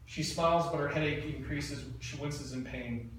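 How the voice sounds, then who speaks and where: Brief: male narrator, flat direction reading, faint room noise. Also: fairly high pitch, normal speed. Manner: plain, matter-of-fact. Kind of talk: reading screenplay stage directions. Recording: echoey room, mic far off, faint noise.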